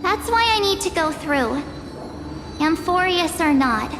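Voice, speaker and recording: A very high, girlish voice for a brave, loyal anime game heroine. She takes her time, hesitant yet earnest and cheerful, with long reverb around her and music clearly behind. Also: expressive, unhurried. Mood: warm, determined. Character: cheerful, loyal, brave teenage girl adventurer.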